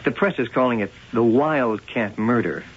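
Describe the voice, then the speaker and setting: An actor playing a skeptical police lieutenant in a radio drama, questioning in an understated way. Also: male voice, mid-range voice, normal speed, husky, close to an everyday voice. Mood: probing. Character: probing, skeptical male police lieutenant on a murder case. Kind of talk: old-time radio drama acting. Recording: dull old radio drama recording.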